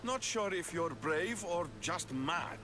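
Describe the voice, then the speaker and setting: A blunt, proud Spartan commander, barking orders with a lively, swinging delivery. His middle-pitched voice rides over a loud game score. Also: takes it slowly, music in the background. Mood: commanding. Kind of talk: video game cutscene acting. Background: orchestral score.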